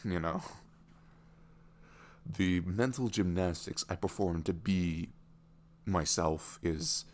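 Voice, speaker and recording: A man playing a smitten, vulnerable boyfriend in an intimate ASMR roleplay, musing haltingly in a voice that often softens toward a whisper. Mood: wry, self-deprecating. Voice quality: low, creaky voice, often dropping toward a whisper. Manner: halting, musing, frequent "you know" and soft chuckles. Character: smitten, vulnerable young neurodivergent boyfriend meeting online love. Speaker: ASMR audio roleplay performer.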